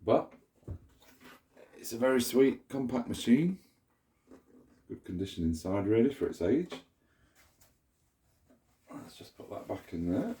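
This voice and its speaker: A man's voice: mid-range voice, sing-song, measured pace, pauses often, dark tone. A man with a stuffy cold chattily shows off a vacuum cleaner in a sunny room indoors, filming it up close with a handheld camera. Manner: chatty, meandering, self-correcting. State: nasal from a cold. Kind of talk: casual show-and-tell demonstration.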